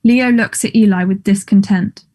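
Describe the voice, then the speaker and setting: Woman narrating stage directions, mellow warm tone, neutral and plain, play read-through. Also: mid-range voice, steady tone, moderate pace.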